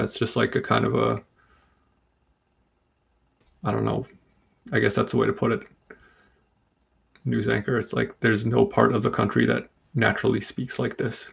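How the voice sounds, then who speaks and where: A man from Rhode Island hosting a live comic book stream, chatting calmly and steadily while reading comments. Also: medium pitch, vocal fry, slightly rough. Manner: calm, steady, unhurried. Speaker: livestream host.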